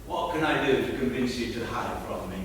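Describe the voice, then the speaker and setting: A man's voice: mid-range voice, expressive, a little fast, background noise, lightly acted. An actor playing a drunk, nosy upper-class man at a party, theatrical and sly. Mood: prying, teasing. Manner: theatrical, sly, drawling with sudden outbursts. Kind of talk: stage play performance. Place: theatre stage. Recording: echoey theatre stage from far off.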